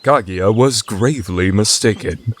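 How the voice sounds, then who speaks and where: A man's voice: fairly low pitch, unhurried, no echo. A man playing a dramatic, all-knowing narrator in an anime fan radio play, with declarative delivery.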